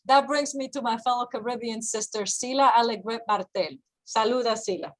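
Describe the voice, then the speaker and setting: A woman's voice: fairly high pitch, brisk, rough recording. Chatty woman moderating a panel. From Dominican heritage. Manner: chatty, bilingual interjections. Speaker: moderator, host. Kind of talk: informal panel hosting.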